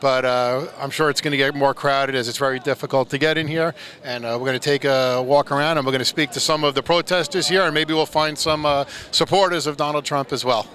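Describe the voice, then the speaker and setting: A man's voice: medium pitch, steady tone, fairly bright tone. A man reporting outdoors near a rally, casual and chatty with frequent "uh"s, asking passersby questions. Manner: casual, quick, fills with "uh". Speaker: street reporter. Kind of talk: on-the-street news report.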